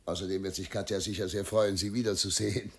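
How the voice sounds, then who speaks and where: An actor playing a troubled, middle-aged governor and father in a radio play, dutiful and self-justifying, speaking in a formal, halting way. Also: deep voice, level tone, vocal fry. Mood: hesitant, instructing. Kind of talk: German radio play acting.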